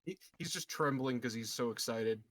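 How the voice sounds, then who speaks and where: A man's voice: mid-range voice, moderate pace, background noise. A man playing a tabletop roleplaying game, talking casually and jokingly about his moves. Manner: jokey, playful.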